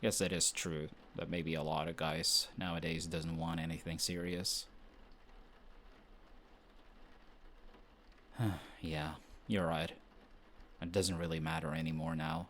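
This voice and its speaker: A man's voice: sits low, creaky, fairly bright tone, a little hiss or hum. A man playing a flustered, defensive young best friend who is secretly smitten, in an intimate romantic audio roleplay. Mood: soft, apologetic, shy. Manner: defensive, bickering, halting when shy.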